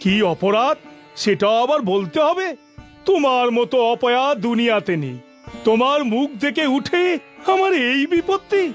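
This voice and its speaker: An irritable, superstitious cartoon king, played by a man, with a strikingly high voice. He is haughty and accusing, quick to blame, and music plays softly behind him. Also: sing-song.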